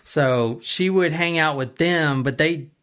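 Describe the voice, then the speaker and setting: A woman's voice: sits low, takes it slowly. Conversational true-crime storyteller, a woman. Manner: explanatory, often checks listeners follow. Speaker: podcast host telling a true-crime story.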